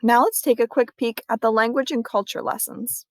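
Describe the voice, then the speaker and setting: A woman librarian walking viewers through a screen-recorded tutorial in a clear, friendly explaining manner. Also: fairly high pitch, fairly even intonation, normal speed, no echo.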